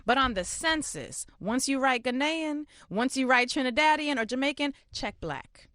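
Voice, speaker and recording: A woman advocate explaining her position in a discussion, persuasive and animated, now and then laughing between points. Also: sits high, normal speed, no room sound, clean studio sound. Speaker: community advocate.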